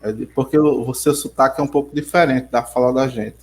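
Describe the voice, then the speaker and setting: Brazilian teacher from Rio Grande do Norte. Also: male voice, mid-range voice, fairly even intonation, brisk. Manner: rambling, restarts often, addresses students by name. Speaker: geography teacher. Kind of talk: casual online class. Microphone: computer mic on video call.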